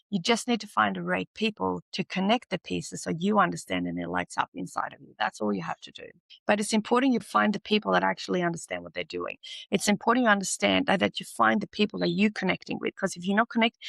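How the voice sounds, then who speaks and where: A woman from Sweden gives blunt, insistent advice and hammers points home by repeating them and swearing freely. Her voice has a gravelly fry to it. The sound is dry and close, with no room around it. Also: medium pitch, fairly bright tone, dry recording. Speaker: podcast host and teacher. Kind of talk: motivational monologue.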